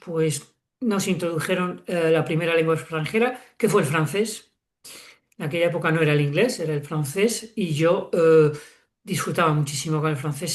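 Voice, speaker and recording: A man in his sixties from Spain, a guest author talking reflectively in an interview, with frequent fillers and self-corrections. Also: high-pitched. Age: 60s. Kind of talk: relaxed interview conversation.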